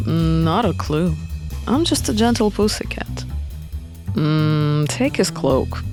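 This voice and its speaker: A woman's voice: sits low, lively intonation, takes it slowly, pitched below the performer's own voice. A woman voicing a dry, sardonic male talking cat in a visual novel, with a wry delivery. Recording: music behind the voice.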